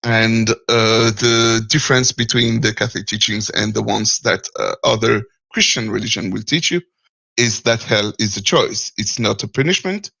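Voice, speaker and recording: Gravelly man, hesitant. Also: fairly low pitch, moderate pace, pushed and bright, no room sound, poor audio. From Martinique. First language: French. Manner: hesitant, searching for words, chuckles often. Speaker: caller. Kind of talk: call-in discussion.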